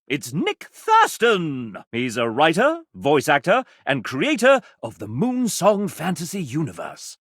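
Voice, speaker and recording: A suave, pompous game-show host for a video game, with a fairly high voice that swoops dramatically from line to line. He is formal and droll, fussing like a deferential butler, in a dry, close studio sound. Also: no room sound, heavily acted. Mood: droll, arch. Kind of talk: theatrical scripted game show presenting.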